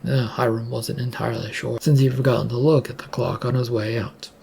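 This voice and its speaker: A narrator reading a scripted story aloud in a slightly halting, amateur way. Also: medium pitch, level tone, vocal fry, a little mellow, husky.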